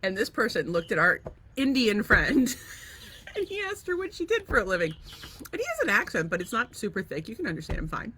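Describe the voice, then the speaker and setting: Woman podcast co-host, bright and animated, rambling comic stories with faint room noise behind. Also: medium pitch, a little fast. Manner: animated storyteller, laughs often, swears freely. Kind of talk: casual chat.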